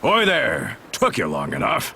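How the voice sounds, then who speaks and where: An actor playing a brash, blunt Norman warrior chief in a video game, gravelly and husky, with a gruff, folksy, commanding way of talking. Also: lowish voice, wildly swooping pitch, unhurried, bright, forceful tone, a little hiss or hum, exaggerated voice. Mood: brisk, commanding. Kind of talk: video game cutscene acting.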